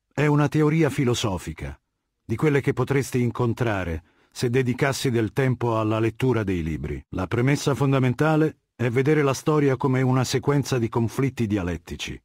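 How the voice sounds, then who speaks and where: Game villain male actor: middle-aged dictator warlord, medium-pitched husky voice, articulate, condescending, ruthless. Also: darkish tone, no room sound, studio quality, close to an everyday voice. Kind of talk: video game voice acting.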